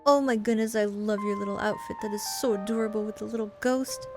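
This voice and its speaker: A woman gives chatty, expressive commentary on a game playthrough and reads its text aloud in a casual way. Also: medium pitch, fast, over music. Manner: chatty, expressive reactions and asides. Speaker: video game streamer.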